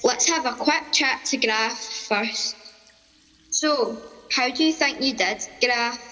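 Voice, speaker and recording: Chirpy, polite contest presenter, bright tone in reverberant space, radio comedy. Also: female voice, fairly high pitch, slow pace, faint music behind. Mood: chirpy, presenting. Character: polite, chirpy narrator-host of a cooking contest spoof. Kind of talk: radio comedy play acting.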